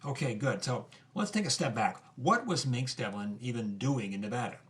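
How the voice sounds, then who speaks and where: A man playing a curious podcast host who probes haltingly in a conversational interview. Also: mid-range voice, animated, a bit bright, close to an everyday voice.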